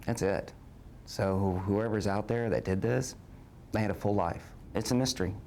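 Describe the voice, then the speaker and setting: A man in his forties with an American accent speaking haltingly and reflectively in a personal interview. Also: lowish voice, gravelly, darkish tone, slight background noise. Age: 40s. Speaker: interviewee, victim's son.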